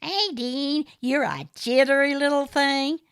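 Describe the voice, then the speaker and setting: A woman's voice: sits high, slow, a bit bright, no echo, studio quality, pitched above the performer's own voice, heavily acted. A woman voicing a rotund, leering middle-aged man who is smug and pushy, drawing out his endearments in an oily way. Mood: smarmy.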